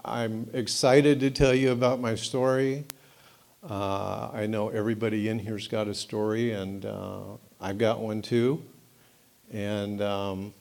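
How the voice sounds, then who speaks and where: A middle-aged American man shares his story at a recovery meeting, speaking slowly in a lowish voice. He hesitates often, fills pauses and restarts his sentences. The level swings as he moves on and off a room microphone. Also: darkish tone. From United States. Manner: halting, many fillers and restarts. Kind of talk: personal testimony at a meeting.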